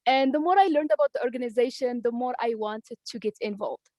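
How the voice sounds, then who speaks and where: A woman with a Moroccan accent tells her own story with earnest pride. Her voice is high and sounds dry and close over a video call. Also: fairly quick, warm tone, no room sound. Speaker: ambassador for a women's nonprofit. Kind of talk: personal story at an online meeting.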